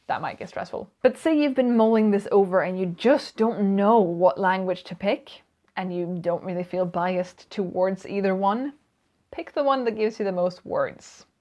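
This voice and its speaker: A woman's voice: lowish voice, a little mellow, highs cut off. A young Swedish woman vlogging casually about writing, speaking English with a Swedish accent in a chatty, self-deprecating way. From Sweden. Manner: chatty, self-deprecating, tends to restart and repeat words. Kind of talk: casual talking-head vlog.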